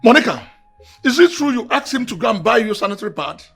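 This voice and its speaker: An actor with a Nigerian accent playing an angry, jealous husband and household boss, shouting and scolding in a film drama. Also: male voice, very high-pitched, sing-song, normal speed, faint music behind, full character voice. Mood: outraged, suspicious.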